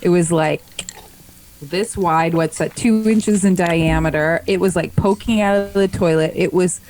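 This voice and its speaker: A woman's voice: fairly low pitch, steady tone, unhurried, a little mellow, slight background noise. A woman co-hosting a podcast, chatting in a bubbly, emphatic way. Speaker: podcast co-host. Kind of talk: casual chat.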